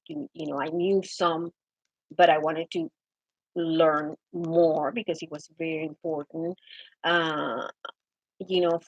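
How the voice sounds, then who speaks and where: A woman's voice: low voice, slightly rough, no echo, rough recording. A middle-aged woman from Venezuela with a Venezuelan Spanish accent, telling her own story with many fillers and restarts. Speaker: interviewee. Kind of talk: personal account of her country.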